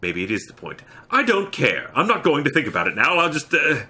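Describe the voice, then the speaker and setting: A man's voice: fairly low pitch, sing-song, fairly quick, bright, forceful tone, voice pushed up, full character voice. A man voicing a squeamish Englishman in a storybook reading, horrified, flustered and full of indignant protest. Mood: flustered, stubborn.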